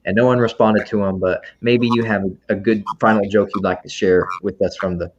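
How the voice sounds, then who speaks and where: A man casually moderating as a library host, hesitant and halting. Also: fairly low pitch, fairly even intonation. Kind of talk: casual question-and-answer moderating. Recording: dull online call with slight noise.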